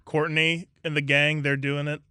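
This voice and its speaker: A man's voice: on the high side, flat delivery, normal speed, bright, forceful tone, clean tone, no room sound, studio quality. A man from Southern Ohio with an Ohio accent, hosting a podcast in a laid-back way, swearing casually and filling with "you know". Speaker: podcast host.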